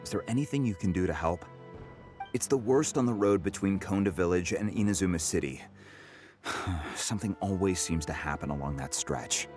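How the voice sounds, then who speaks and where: An actor playing a wary merchant boss in a video game, with a theatrical, drawn-out delivery. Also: male voice, low voice, expressive. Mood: agreeable, businesslike. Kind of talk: scripted game character dialogue. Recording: music behind the voice.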